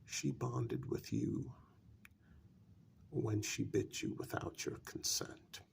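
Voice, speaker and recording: A man playing a calm, coldly persuasive vampire in a soft-spoken ASMR role-play, talking deliberately in a gravelly, breathy voice. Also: on the low side, slight background noise, heavily acted. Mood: explaining, grave. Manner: slow, deliberate, pausing between phrases.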